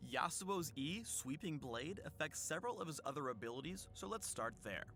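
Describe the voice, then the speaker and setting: A man's voice: fairly high pitch, lively intonation, moderate pace, noisy background. A man reading scripted explainer narration as the presenter of a game character spotlight.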